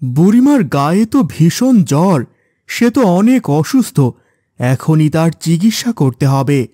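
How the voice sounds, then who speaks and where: A man playing a kind, pious father in a cartoon, calm and instructive in manner. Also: on the high side, unhurried, no echo, clean studio sound. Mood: concerned. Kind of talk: cartoon voice acting.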